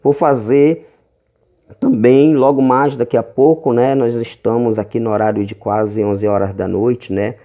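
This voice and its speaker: A man with a Brazilian accent preaching in a home Bible-teaching video, reading verses slowly and stretching key words, calling listeners brothers. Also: medium pitch, steady tone, mellow. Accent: Brazilian Portuguese. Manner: fervent, calls listeners brothers often.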